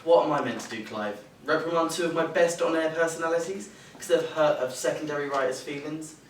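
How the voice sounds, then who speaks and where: An actor playing an impatient, mocking studio boss in a stage play, curt, dismissive and sardonic in delivery. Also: male voice, fairly high pitch, brisk, close to an everyday voice. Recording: echoey stage, distant mic, faint noise.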